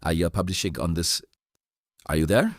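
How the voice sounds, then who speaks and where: A man hosting a casual chat, speaking haltingly and repeating short phrases. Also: sits low, animated, dry recording, clean studio sound. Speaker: podcast host.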